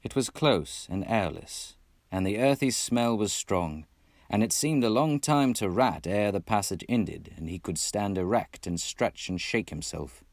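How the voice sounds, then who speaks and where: A man's voice: lowish voice, lively intonation, measured pace, no echo, studio quality. A man from Texas reading a classic storybook expressively as narrator, in a put-on British accent. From Texas, United States. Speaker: amateur audiobook reader.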